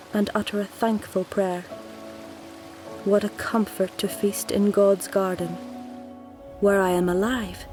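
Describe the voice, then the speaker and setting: A woman reading a literary story aloud in a measured, deliberate way, as a scripted narration. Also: medium pitch, mellow. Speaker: actor reading a nominated story.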